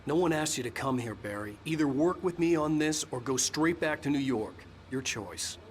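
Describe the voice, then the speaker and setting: An actor playing a terse, dry-witted writer in a video game cutscene. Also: male voice, medium pitch, lively intonation, normal speed, lightly acted. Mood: dry, irritated. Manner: dry, sardonic.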